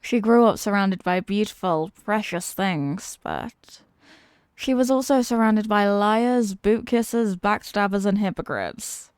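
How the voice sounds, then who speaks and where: A woman playing a menacing, mocking demon villainess in a fantasy audio roleplay, theatrical and taunting, with deliberate dramatic pauses. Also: mid-range voice, clear, resonant tone, no echo, clean studio sound, close to an everyday voice. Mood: taunting storytelling. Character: possessing demon villainess, menacing, mocking, tormented by borrowed love.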